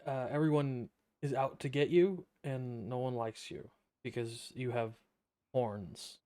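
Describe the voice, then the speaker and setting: A man's voice: mid-range voice, steady tone, measured pace, warm tone. A man giving casual, sarcastic gameplay commentary as a streamer, offhand, with game music behind him.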